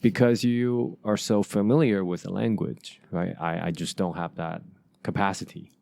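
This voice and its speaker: Low-voiced halting man. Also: steady tone, gravelly, a little mellow, no echo. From Asia. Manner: halting, many restarts and fillers. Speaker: podcast host. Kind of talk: casual conversation.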